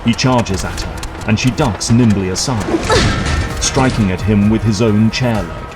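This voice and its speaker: A man delivers scripted dramatic narration in a fairly low voice. Music plays clearly beneath him as he describes the action. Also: a little slow.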